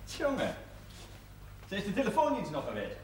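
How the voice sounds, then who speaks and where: A man's voice: sits high, wildly swooping pitch, moderate pace. A man playing a brash, bantering husband and proud young father in a naturalistic television drama. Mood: brusque, bantering. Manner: boisterous, bantering, slangy. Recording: roomy, noisy old television drama soundtrack.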